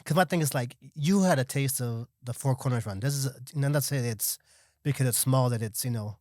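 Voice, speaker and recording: A man's voice: mid-range voice, fairly quick, a little mellow, no echo, studio quality. A man in a casual podcast conversation, talking in a loose, slangy way. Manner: slangy, peppered with dude and bro. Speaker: podcast guest.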